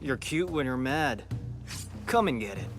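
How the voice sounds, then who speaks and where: A man's voice: mid-range voice, animated, measured pace, music in the background, close to an everyday voice. A man playing a cocky thief who poses as a demon in a video game, taunting and flirtatious. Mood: teasing, menacing. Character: cocky, teasing male thief posing as a demon. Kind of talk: playful video game villain acting.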